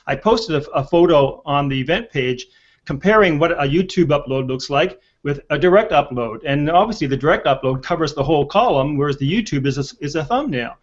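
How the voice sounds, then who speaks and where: A man's voice: a little high, brisk. A man in his sixties hosting a conversational panel discussion over a video call. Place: indoor room on a video call. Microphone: video call with earpiece. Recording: dull lo-fi video call audio.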